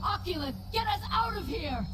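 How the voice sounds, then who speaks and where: High-voiced dramatic elf lady. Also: animated, moderate pace, over loud music, poor audio. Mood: alarmed. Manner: formal, dramatic. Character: proud, dramatic female elf of a fantasy game. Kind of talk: fantasy video game voice acting.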